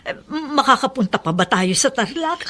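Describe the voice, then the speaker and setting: High bright voice, woman doing a wronged young woman in a radio play, very emotional, pitch all over. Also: lively intonation, normal speed. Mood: worried. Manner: shrill, emotional outbursts. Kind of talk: radio drama acting.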